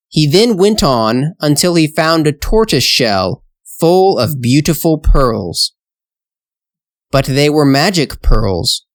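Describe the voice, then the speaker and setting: A narrator reading a children's storybook tale for language learners in a slow, deliberate, measured way. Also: medium pitch, no echo. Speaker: storyteller, English teacher.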